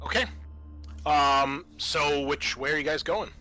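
A man's voice: a little high, a little slow, sharp tone, faint music behind, low-quality recording. A man running a tabletop roleplaying game as game master, talking casually to his players.

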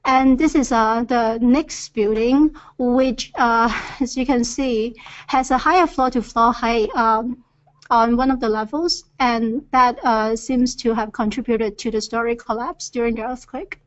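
A woman with a fairly high voice walks through slides in a recorded webinar, pausing often on filler sounds. The audio is dull and lo-fi. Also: fairly even intonation. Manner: hesitant, many filler "uh"s. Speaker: earthquake reconnaissance presenter.

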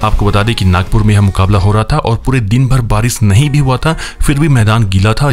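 A man with a fairly low voice reads a cricket news roundup at a brisk clip. He keeps a steady newsreader delivery, greeting viewers as friends. The voice-over is recorded close to the mic. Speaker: cricket news channel host.

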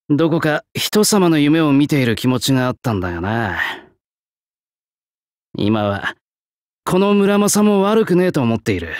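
Blunt young swordsmith with an old soul. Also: male voice, on the high side, no room sound, clean studio sound. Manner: blunt, rough old-fashioned artisan speech. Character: gruff old-souled swordsmith in a young man's body. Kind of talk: Japanese game character voice lines.

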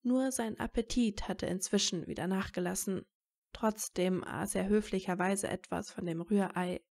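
A woman's voice: medium pitch, fairly even intonation, normal speed, creaky, darkish tone. A woman reading scripted narration as the narrator of a fan fiction story.

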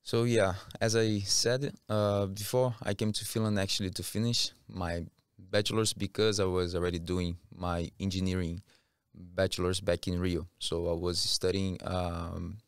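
Deep-voiced Brazilian man, smooth and clear. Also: level tone, normal speed, no room sound, studio quality. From Rio, Brazil. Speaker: podcast guest. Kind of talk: casual interview talk. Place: small curtained podcast studio. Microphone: close studio mic on boom arm.

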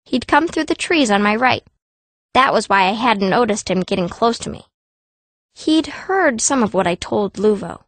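A sharp-tongued young girl mage, played by a woman tells her own story in a fantasy audiobook. Her voice is fairly high, blunt and cranky, recorded close and dry. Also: lively intonation, normal speed, dry recording, close to an everyday voice. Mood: narrating. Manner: blunt, grumbling. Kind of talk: first-person audiobook narration.

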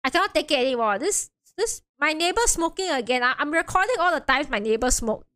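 A woman's voice: sits high, expressive, fast, bright, forceful tone. A woman streamer chatting casually with her viewers while playing a game, chatty and self-deprecating with frequent giggles. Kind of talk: casual live-stream chat.

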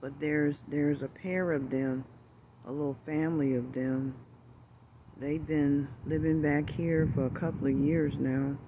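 Slow, soft-spoken man, often hushed. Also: fairly high pitch, steady tone, dull audio, a little hiss or hum. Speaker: birdwatching vlogger. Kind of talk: casual outdoor narration while filming. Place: outdoors in a backyard among bushes. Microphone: handheld phone camera.

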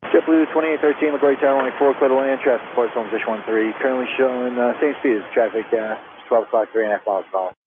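Fast male air traffic controller over noisy radio. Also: medium pitch, phone-quality sound. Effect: aviation radio transmission. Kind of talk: clipped procedural radio instructions.

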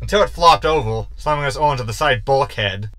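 A man's voice: medium pitch, expressive, a little fast, sharp tone, smooth, dry recording. A man playing a cocky, sarcastic shapeshifting ship's hologram in a science fiction comedy audio drama, with wordy delivery. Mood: exasperated.

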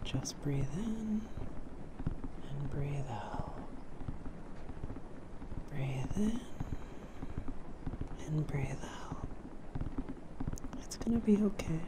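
A man doing intimate ASMR roleplay as a doting boyfriend, speaking tenderly and soothingly, breathy and close to a binaural microphone. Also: on the high side, noisy background. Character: affectionate doting boyfriend giving personal attention.

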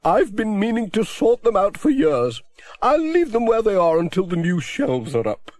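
A man voicing a brisk, bookish older village woman in a high, chirpy put-on voice. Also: huge pitch swings, a little mellow, no echo. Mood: cheerful. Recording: dry close audiobook recording.

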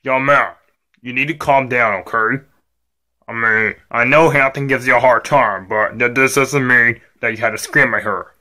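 A man's voice: mid-range voice, steady tone, moderate pace, pushed and bright, pitched below the performer's own voice, close to an everyday voice. A man voicing a stern, weary dad in an amateur skit, admonishing his rowdy child in a repetitive, subdued way.

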